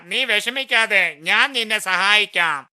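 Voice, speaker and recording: A woman's voice: mid-range voice, animated, slow, sharp tone, exaggerated voice. Woman acting a piercing, sly cartoon jackal. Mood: reassuring, scheming. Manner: coaxing, reassuring. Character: sly, clever, friendly-talking jackal. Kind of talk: animated children's moral story.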